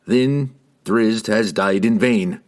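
A man voicing a stern, stubborn young male barbarian warrior in an audiobook, speaking bluntly and evenly. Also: fairly low pitch. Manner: measured, blunt, even. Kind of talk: audiobook character voice.

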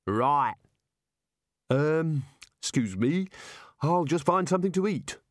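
A man's voice: fairly high pitch, lively intonation, slow pace, dry recording, studio quality, pitched above the performer's own voice. A man voicing a laid-back, sly talking kangaroo with a folksy, teasing manner in an audiobook. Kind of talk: audiobook character voice.

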